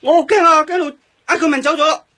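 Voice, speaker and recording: A man with a Hong Kong Cantonese accent playing a flustered, frightened middle-aged watchman in a radio drama, excitable and defensive. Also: extremely high pitch, quick pace. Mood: frightened, excited.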